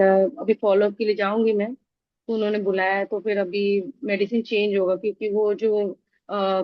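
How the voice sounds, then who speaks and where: A woman, a yoga trainee on a video call, slightly hoarse and hesitant as she explains herself. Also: medium pitch, dry recording, dull audio. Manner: hesitant, many fillers while explaining. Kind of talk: student asking questions in class.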